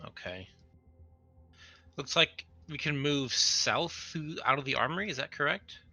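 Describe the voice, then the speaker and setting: A man's voice: a little high, unhurried, sharp tone, dry recording. A man talking casually as a player in a tabletop roleplaying game.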